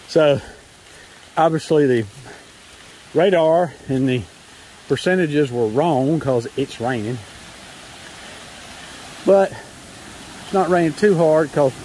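A man talks at a very unhurried pace as he narrates a trail hike outdoors. His delivery is casual and halting, wandering from one thought to the next with a wry edge. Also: a little high, a little mellow, slight background noise, low-quality recording. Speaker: hiking vlogger. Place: outdoors on a forest trail in rain.

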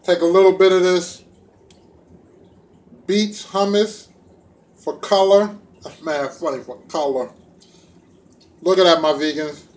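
A middle-aged man with a slightly high voice chats casually to a camera propped nearby in a room at home. He is laid-back and playful, speaking in loose everyday slang as a food vlogger. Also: fairly even intonation, slow pace, pauses often. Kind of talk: casual chat to camera while eating. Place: small room indoors at home. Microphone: phone or camera propped within arm's reach.

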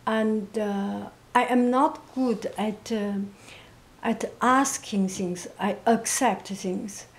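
A woman who is not a native English speaker, answering interview questions reflectively in a quiet, monotone voice with a gentle, halting manner. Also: fairly high pitch. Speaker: interviewee, painter and teacher.

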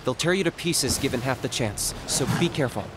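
An actor playing a calm, businesslike young adventurer in a video game, measured and deadpan. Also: male voice, medium pitch, very noisy, lightly acted. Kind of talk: video game character dialogue.

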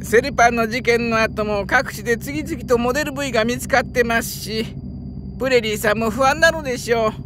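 A woman voicing a gentle, earnest male engineer with very polite, formal speech in a Japanese game drama. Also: fairly high pitch, a little fast, noisy background, natural voice. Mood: worried. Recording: music behind the voice.

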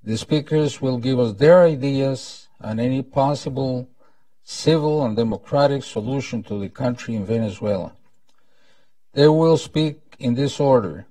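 Older male host, Costa Rican accent, very slow and deliberate formal delivery, soft dark tone. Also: mid-range voice, no room sound, far from the mic, low-quality recording. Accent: Costa Rican Spanish. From Costa Rica. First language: Spanish. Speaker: event host, former ambassador. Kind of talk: formal prepared welcome speech. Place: indoor conference room at a lectern. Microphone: lapel mic.

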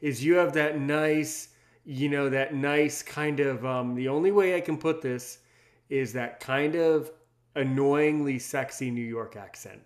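A man's voice: mid-range voice, a little slow. A man from Maryland hosting a podcast, talking in a rambling, teasing way and often repeating his words. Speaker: podcast host. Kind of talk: casual interview chat.

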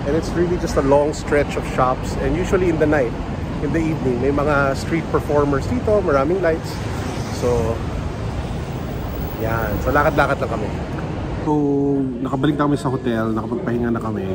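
A man's voice: mid-range voice, brisk, very noisy. A Filipino-accented man in his thirties chatting casually and playfully as a travel vlogger, recorded on a handheld camera. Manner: playful, informal. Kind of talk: casual vlog chat mixing Tagalog and English. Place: on the go: hotel room, malls, streets, restaurants. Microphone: handheld camera or phone at arm's length.

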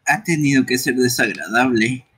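A man's voice: medium pitch, normal speed, fairly bright tone, breathy edge. A man voicing a timid, earnest young fox-like creature in a live amateur game dub. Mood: ashamed. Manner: earnest, apologetic.

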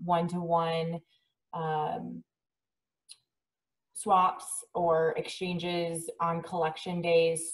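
A woman with a fairly low, very even voice explains plans in a measured, procedural manner. She is on a remote meeting call and sounds some way from the microphone. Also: far from the mic, poor audio. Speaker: waste agency staff member. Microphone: phone or video call.

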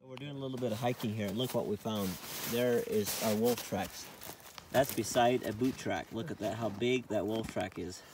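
A man's voice: fairly low pitch, fairly even intonation, normal speed, background noise. A man casually narrating an outdoor camping vlog on a handheld camera, often exclaiming in amazement. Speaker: narrator of a family camping trip. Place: outdoors on a forested mountain.

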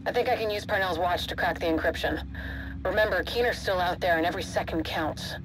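A woman's voice: lowish voice, fairly quick, background noise, close to an everyday voice. A woman playing a driven, hard-edged agency commander in a video game drama. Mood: determined. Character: driven, frustrated female agency commander hunting a rogue.

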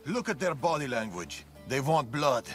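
A man's voice: mid-range voice, very animated, normal speed. An actor voicing a stern, seasoned older father and warrior mentor in a video game, curt and commanding. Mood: wary, commanding. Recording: game music behind the voice. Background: loud music.

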